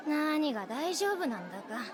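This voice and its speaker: A woman's voice: high voice, very animated, quick pace, crowd noise. A woman voicing a brusque, nagging female character in a visual novel. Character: brusque, nagging woman looking after someone. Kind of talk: Japanese visual novel voice acting. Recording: game audio under noisy stream ambience.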